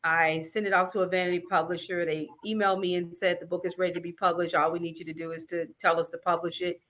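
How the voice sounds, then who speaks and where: A woman's voice: lowish voice, flat delivery, fast, a bit bright. A woman from Alabama hosting an interview, enthusiastic and encouraging, often stumbling over the start of a phrase. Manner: enthusiastic, encouraging, repeats words when starting. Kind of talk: casual interview chat.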